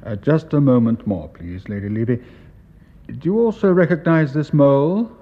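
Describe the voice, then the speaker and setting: An actor playing a solicitous, rather fussy official doctor in a radio drama, speaking formally with an educated English accent. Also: male voice, medium pitch, expressive, lo-fi sound, close to an everyday voice. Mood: grave, gentle. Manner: measured, polite, officious.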